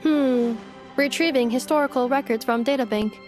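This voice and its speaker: Polite, measured female android for a game, acted by a woman, somewhat high, with soft formality over music. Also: close to an everyday voice. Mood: measured, explanatory. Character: composed, polite female robot helper, caring and precise. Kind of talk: video game voice acting.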